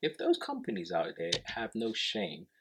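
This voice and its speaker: A man's voice: lowish voice, huge pitch swings, moderate pace, noisy background. A man co-hosting a podcast in casual chat, teasing and pressing his partner with questions, English not his first language. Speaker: podcast co-host.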